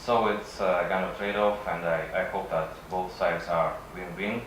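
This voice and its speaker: A man with a Russian accent, a native Russian speaker, giving a halting conference presentation with frequent pauses. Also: low voice, fairly even intonation, fairly bright tone. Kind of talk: conference presentation, semi-improvised. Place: conference session room. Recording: echoey room from far off, faint noise.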